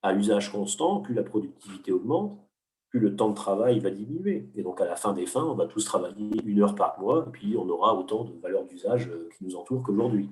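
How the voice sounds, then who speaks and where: A man's voice: fairly low pitch, animated, fairly quick, a little mellow. A man, an economics teacher, explaining ideas patiently in an interview, with frequent hesitations and restarts. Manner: didactic, many hesitations and restarts. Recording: roomy, from a distance.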